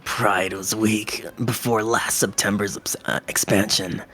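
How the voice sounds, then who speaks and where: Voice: sits low, steady tone, unhurried, a bit bright, breathy edge, faint music behind, pitched below the performer's own voice, full character voice. A performer voicing a sly male card trader with salesman-like patter, in a game read-through. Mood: slick persuasion. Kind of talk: improvised visual novel character voice.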